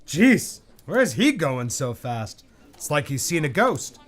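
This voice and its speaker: A man's voice: a little high, big pitch swings, unhurried, heavy background noise. An actor playing a chummy, casual male office coworker in an audio drama, breezy and colloquial. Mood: startled.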